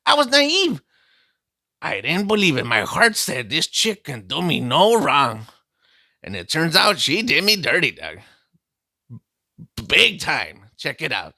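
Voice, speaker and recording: A man doing a cocky streetwise vato, boasting through a comic tale in slang. The voice sits a bit high and is very bright, swinging up and down with lively intonation. It is recorded close and dry. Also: slow pace, creaky, pauses often, no echo, heavily acted. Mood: swaggering. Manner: slangy, calls listener "dog" constantly, boastful storytelling. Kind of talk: comic character monologue.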